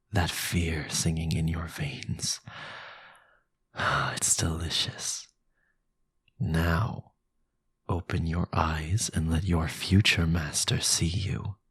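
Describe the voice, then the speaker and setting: A man playing a powerful, sinister vampire lord in an ASMR roleplay, possessive, silky and condescending, with a low, gravelly, breathy voice. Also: no echo, exaggerated voice. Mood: predatory, seductive.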